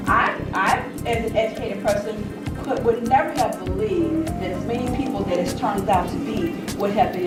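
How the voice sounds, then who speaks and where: A middle-aged woman giving an impromptu, emphatic public talk, telling stories and preaching a little as she leads a discussion. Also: medium pitch. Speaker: panel speaker leading a community discussion. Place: large echoey indoor venue. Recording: very echoey hall, distant mic, loud music.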